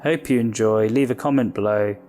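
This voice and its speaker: A man's voice: lowish voice, fairly quick, a little mellow, soft background music. A man speaking as a show host, introducing an episode.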